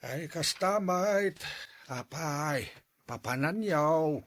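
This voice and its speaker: A man playing a slow old villager giving directions in a radio drama, with an Ilocano accent. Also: a little high, animated, a bit bright, exaggerated voice. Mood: unhurried.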